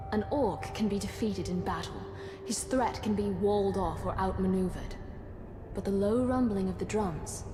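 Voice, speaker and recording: A woman playing a solemn, thoughtful chronicler of a besieged fantasy city in a video game, narrating in a measured, grave and reflective way. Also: medium pitch, lightly acted. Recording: echoey hall reverb under music.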